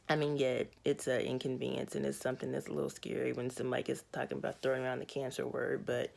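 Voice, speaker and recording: A young adult woman with a raspy, hoarse voice, giving a candid, matter-of-fact personal health update to her viewers. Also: a bass voice, a little mellow. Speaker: vlogger.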